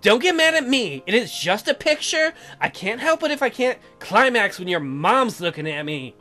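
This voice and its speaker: A man's voice: extremely high pitch, sing-song, moderate pace, pushed and bright, soft background music, full character voice. A man voicing a touchy, defensive young newlywed wife in a visual novel, whiny and indignant. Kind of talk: exaggerated visual novel dialogue reading.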